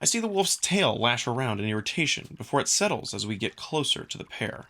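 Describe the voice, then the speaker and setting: Guy narrating a visual novel in first person, bright voice, pitch moves around a lot. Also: sing-song, a little fast.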